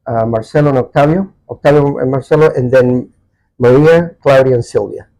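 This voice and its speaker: A man in his sixties from Chile, a Spanish speaker, telling personal stories haltingly as he searches for words. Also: medium pitch, dark tone, rough recording. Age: 60s. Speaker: podcast guest. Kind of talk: personal storytelling in a casual interview.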